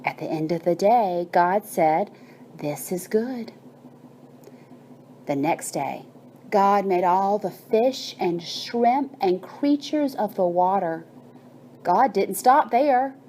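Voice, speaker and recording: A woman narrating a bedtime story and guided meditation in a soothing, measured manner with drawn-out phrases. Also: medium pitch, animated, mellow, a little hiss or hum.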